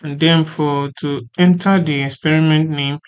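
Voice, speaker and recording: Tutorial host with a flat, even delivery. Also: mid-range voice, normal speed, smooth. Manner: repetitive, often checking for understanding. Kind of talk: informal step-by-step software tutorial.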